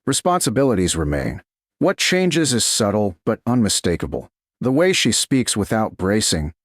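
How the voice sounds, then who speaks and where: A man reading a romance story in a slow, measured narration style. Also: on the low side, no echo, studio quality.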